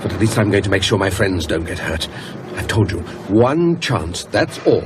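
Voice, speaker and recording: A man's voice: very animated, moderate pace. An actor playing an anxious, weary, haunted man in a radio drama, fretful and resentful. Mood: weary, resolute. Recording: loud vehicle rumble and ambience.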